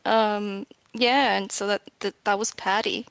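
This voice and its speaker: A woman's voice: medium pitch, steady tone, measured pace, gravelly, a bit bright, smooth, no echo. A woman speaking as a guest in a casual interview, a little hesitant, with phrases that trail off. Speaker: game developer, interview guest.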